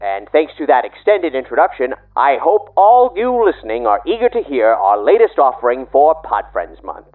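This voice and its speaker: A man's voice: medium pitch, sing-song, normal speed, hardly any pauses, phone-quality sound. A man hosting a podcast in a formal, theatrical, courtly scripted style. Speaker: podcast host. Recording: thin radio-like band, music behind.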